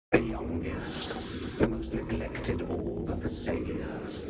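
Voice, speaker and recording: A bitter, menacing old lord from a fantasy game. His voice is very low and gravelly, almost a whisper. He speaks slowly and theatrically, sounding distant and echoing. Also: exaggerated voice. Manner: slow, theatrical, ominous. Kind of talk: video game voice acting. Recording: echoing, distant, loud music behind.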